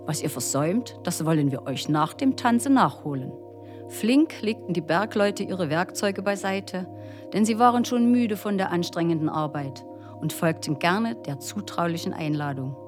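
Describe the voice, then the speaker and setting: A woman's voice: lowish voice, normal speed. A woman narrating a scripted folk tale as a storyteller. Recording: music behind the voice. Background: instrumental music.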